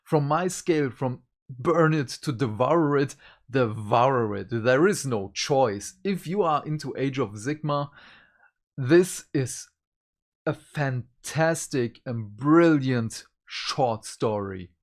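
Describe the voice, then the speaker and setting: A host giving a casual review to viewers, speaking in an emphatic, deliberate way. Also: mid-range voice, expressive. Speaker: book reviewer and channel host.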